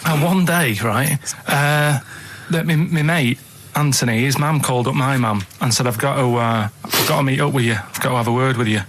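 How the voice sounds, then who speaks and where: A man's voice: medium pitch, normal speed, few pauses, fairly bright tone. A man tells rambling, colloquial stories in an artless way as a co-host of a radio chat show. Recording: radio broadcast with clear background noise.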